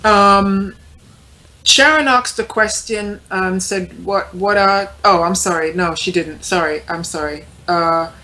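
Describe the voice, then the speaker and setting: A woman's voice: mid-range voice, slow pace, sharp tone, low-quality recording. A woman on a radio panel, speaking casually in a polite, hesitant manner as she relays listener comments. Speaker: panelist reading chat comments.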